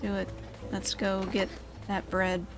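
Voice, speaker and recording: A woman's voice: mid-range voice, monotone, slow, over music. A woman giving casual, chatty gameplay commentary as the host of a gaming video. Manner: chatty, informal.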